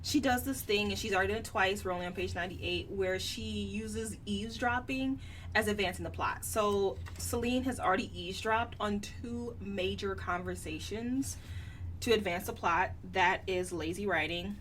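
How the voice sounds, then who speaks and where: A woman's voice: mid-range voice, moderate pace, a bit bright. A woman co-hosting a podcast, giving casual book critique in a blunt, opinionated way. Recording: distant mic, faint background noise.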